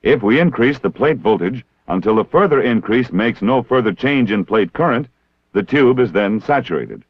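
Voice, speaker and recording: American man, low voice, formal training-film narration, measured and precise, dry close sound, muffled 1960s film soundtrack. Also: lively intonation, husky, dry recording. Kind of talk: scripted instructional narration.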